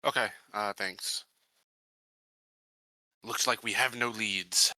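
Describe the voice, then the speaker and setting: Very bright, forceful low-pitched man. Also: steady tone, slow, dry recording, low-quality recording. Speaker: tabletop game player. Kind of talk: casual tabletop game talk.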